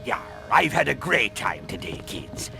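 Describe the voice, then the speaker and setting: A grizzled cartoon sea captain, old and blustering. His speech is slow and gravelly, full of pirate growls, and it swings wildly in pitch. Music plays underneath. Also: very animated, exaggerated voice. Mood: hearty. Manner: pirate-style exclamations and dialect. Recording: music behind the voice.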